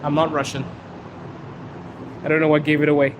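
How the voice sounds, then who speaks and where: A man's voice: a little high, brisk, noisy background. Chatty young man on a street stream. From Zimbabwe. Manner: relaxed and chatty, laughs often, drawls some words. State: sinuses bothered by pollen. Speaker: host. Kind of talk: live Q&A stream while walking. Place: outdoors on a city street.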